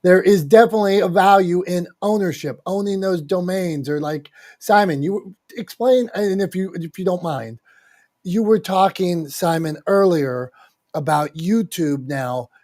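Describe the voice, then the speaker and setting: A man's voice: high-pitched, measured pace, darkish tone. A man co-hosting a casual panel, calling on speakers by name and passing them the floor. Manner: friendly moderator who calls on speakers and hands over the floor. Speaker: co-host and moderator. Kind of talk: casual panel discussion in a social audio room.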